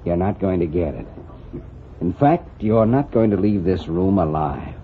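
An actor playing a vengeful, smug crime boss in an old radio western, menacing, gloating and excitable. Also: male voice, deep voice, unhurried. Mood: gloating menace. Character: vengeful, smug male gambling-house crime boss and ex-convict. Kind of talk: 1940s radio western villain acting. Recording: dull, slightly noisy old radio recording.

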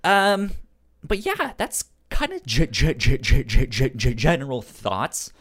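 A man co-hosting a casual comics discussion, stuttering on sounds and syllables in a halting, informal way. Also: mid-range voice, big pitch swings, a bit bright, husky. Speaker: podcast co-host reviewing comics.

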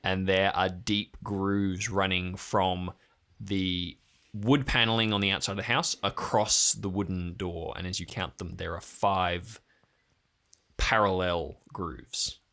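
A man narrating an improvised tabletop game as game master, setting scenes in deliberate, descriptive phrases. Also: deep voice, steady tone, fairly bright tone.

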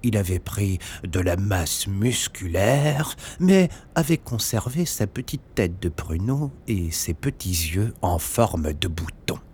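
Male children's narrator: very animated pitch swings, measured pace, faint noise. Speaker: storyteller. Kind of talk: expressive scripted children's story narration.

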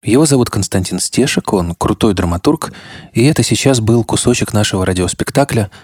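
A man's voice: lowish voice, a little fast, darkish tone, husky, no echo, clean studio sound. A man hosting a podcast with scripted narration that speaks straight to the listener. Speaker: podcast host.

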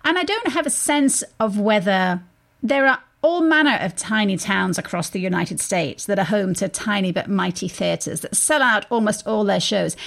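Female arts radio host, low-key creak in the voice, articulate, wry phrasing, moves between interview and scripted intro.

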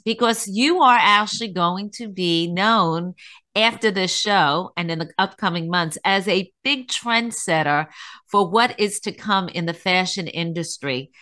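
A woman's voice: mid-range voice, a little slow, sharp tone. An older woman, a grandmother, hosting a talk show and enthusiastically introducing her guest. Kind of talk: interview hosting.

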